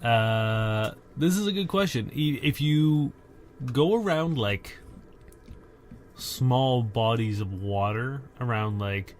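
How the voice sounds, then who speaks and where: A man's voice: medium pitch, slow. A man co-hosting a podcast, giving mock-serious advice with a dry, joking manner. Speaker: podcast co-host. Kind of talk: casual comedic chat. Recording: faint background noise.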